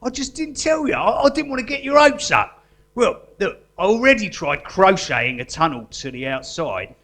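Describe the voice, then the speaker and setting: A man acting as an earnest artist stuck in prison, with a high, swooping voice. He stammers and hesitates, fretful and coaxing, in the manner of live stage comedy. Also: very animated, moderate pace, fairly bright tone, exaggerated voice. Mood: sheepish, confiding. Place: live performance venue.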